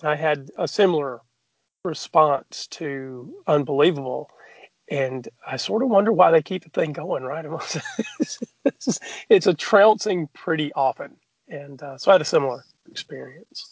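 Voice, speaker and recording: A man's voice: a little high, normal speed, husky, dry recording, lo-fi sound. A man co-hosting a podcast, chatting casually and reflectively in a hesitant, musing way. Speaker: podcast co-host.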